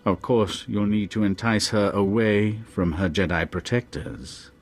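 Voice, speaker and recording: An actor playing an obsequious, scheming Sith informant in a video game, unctuous, hesitant and self-deprecating. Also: male voice, on the low side, a little slow, soft background music. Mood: servile, scheming.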